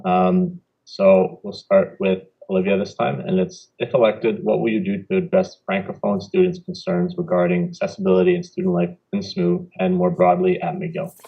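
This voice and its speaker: A man's voice: low-pitched, normal speed, gravelly, few pauses. A man moderating a student debate, reading questions in brief, procedural turns. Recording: dull lo-fi.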